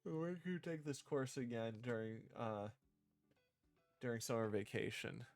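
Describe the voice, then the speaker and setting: Slow-paced man thinking out loud. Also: medium pitch, expressive, no echo, faint music behind. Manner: halting, thinking out loud, repeating and rewording lines. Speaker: streamer developing own visual novel. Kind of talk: casual livestream, reading and drafting script aloud.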